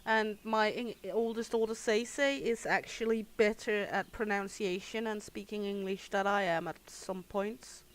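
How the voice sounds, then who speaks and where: A woman's voice: mid-range voice, fairly even intonation, normal speed, hardly any pauses. A Danish woman with a Danish accent, chatting casually to her viewers while she plays, laughing often. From Denmark. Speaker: gaming streamer. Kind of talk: casual livestream commentary.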